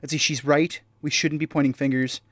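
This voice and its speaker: A man voicing a posh British game character with a measured, self-regarding manner and a British accent. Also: fairly high pitch, level tone, fairly bright tone, dry recording, lightly acted. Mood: placating. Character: posh, smooth-talking British colleague, reasonable and self-regarding.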